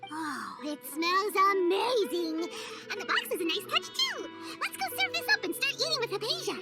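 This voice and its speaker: A woman voicing a small, excitable, hungry fairy companion in a video game, squeaky and childlike, with perky exclamations. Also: normal speed, full character voice. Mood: excited. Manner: perky, exclaiming, speaks of herself in third person. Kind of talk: video game cutscene acting. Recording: loud background music. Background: music.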